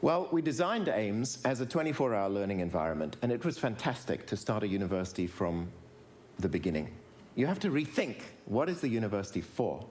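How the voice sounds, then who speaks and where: A man's voice: on the low side, big pitch swings, brisk, darkish tone, slight background noise. A man giving a prepared public talk, earnest and building to emphatic rallying phrases.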